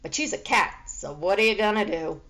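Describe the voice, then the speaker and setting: A woman's voice: mid-range voice, lively intonation, a little fast, fairly bright tone, low-quality recording. A woman voicing a blunt, laconic male dog with a matter-of-fact manner in a storybook reading.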